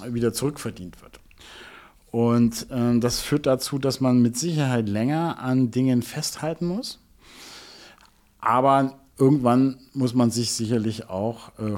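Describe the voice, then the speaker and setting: A middle-aged man giving explanatory answers as an interview guest, halting and deliberate, with rather formal wording. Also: medium pitch, a little mellow. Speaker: interview guest, music label executive.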